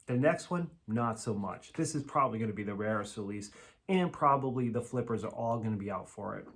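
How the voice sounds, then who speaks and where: A middle-aged man with a New England accent, chatting casually as a vlog host, relaxed and enthusiastic, often trailing off at the ends of phrases. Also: lowish voice, fairly quick. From New Jersey, raised in Massachusetts. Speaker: vinyl collector host.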